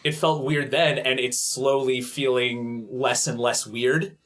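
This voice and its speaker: A man in his late twenties telling a story on a podcast panel, animated and quoting his own thoughts. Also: mid-range voice, takes it slowly. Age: 20s. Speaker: podcast guest. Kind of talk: casual panel discussion.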